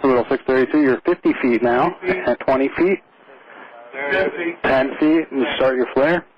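A man working as an air traffic controller, giving calm, reassuring procedural instructions over the radio. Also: medium pitch, normal speed, muffled recording.

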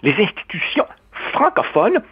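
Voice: mid-range voice, huge pitch swings, measured pace, breathy edge. A speaker, arguing a point in a radio discussion, with emphatic rising stresses. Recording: dull lo-fi radio sound.

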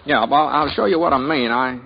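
A laconic frontier drifter with a slow, halting country drawl, as an actor plays him. He speaks in an old radio play. The recording is dull and has a faint hiss. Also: medium pitch, vocal fry, lightly acted. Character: laconic Western drifter narrating. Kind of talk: 1950s radio drama acting.

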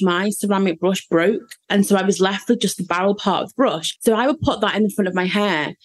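A woman's voice: medium pitch, a little mellow. A woman with a Scouse accent from the Wirral, a guest entrepreneur chatting in a podcast interview, upbeat and full of "like" and "kind of". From the Wirral, England.

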